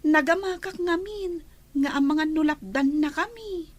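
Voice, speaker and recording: A high, slow-paced actress's voice as a shy, apologetic little sister in an audio drama, spoken with an Ilocano Filipino accent. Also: female voice. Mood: shy, sheepish. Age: young adult. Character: shy, apologetic younger sister with secret boyfriend. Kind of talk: audio drama acting in dialogue.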